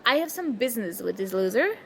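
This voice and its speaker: A woman's voice: a little high, big pitch swings, fast, gravelly, fairly bright tone, full character voice. A woman acting an indignant, sarcastic young student confronting a former school enemy in an audio roleplay. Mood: bitter, sarcastic. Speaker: ASMR roleplay performer. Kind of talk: ASMR roleplay acting, one side of a dialogue.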